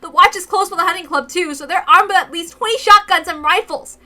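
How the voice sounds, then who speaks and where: A wounded young girl played by a woman reading a story protests in desperation. Her voice is high and piercing, bitter and full of anguish. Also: quick pace, heavily acted. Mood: bitter, agitated. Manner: anguished, protesting, loud outbursts.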